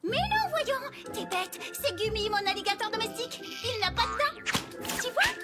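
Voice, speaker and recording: Bubbly excitable young girl pony, very high squeaky voice, swooping sing-song delivery, loud music behind. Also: normal speed. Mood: cheerful. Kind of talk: French cartoon dub.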